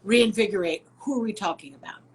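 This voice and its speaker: A woman hosting a casual interview over a live video call, curious and quick to ask follow-up questions. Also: medium pitch, slight background noise, low-quality recording. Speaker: host, interviewer.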